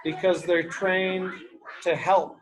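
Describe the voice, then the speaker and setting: A man's voice: high voice, level tone, takes it slowly, a crowd in the background. A man reading a picture book aloud to young children, explaining in simple, repeated words and asking questions as a teacher does.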